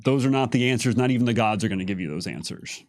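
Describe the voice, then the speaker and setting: A man's voice: mid-range voice, fast talker, no room sound. Explaining man, dry close sound. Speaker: game lore panelist on a livestream. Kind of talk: casual explanatory discussion.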